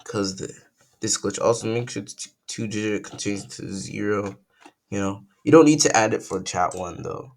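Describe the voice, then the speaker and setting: A young man, croaky from just waking up, talks through a casual coding tutorial at his computer, thinking aloud and correcting himself as he goes. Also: lowish voice, moderate pace, darkish tone.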